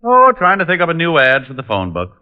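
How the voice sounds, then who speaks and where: An actor playing a sardonic private detective in a vintage radio drama, with an American accent and glib, dry wit. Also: male voice, a little high, huge pitch swings, fairly quick, clear, resonant tone. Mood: playful, glib. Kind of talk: 1950s radio drama acting and noir narration. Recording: muffled vintage radio recording, music.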